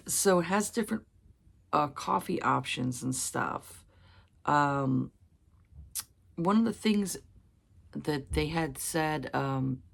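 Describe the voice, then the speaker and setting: A woman in her thirties, hoarse from a cold, chatting casually about books with many fillers. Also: sits low. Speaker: book vlogger. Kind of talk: casual chat.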